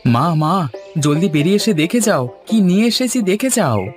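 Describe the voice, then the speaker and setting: A man's voice: sits high, moderate pace. Man acting eager young village son, music behind. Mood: excited, urging. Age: young adult. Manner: earnest, energetic, calling out like a hawker. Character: eager, devoted young village son selling fried eggplant. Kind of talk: Bengali cartoon voice acting. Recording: music under the voice.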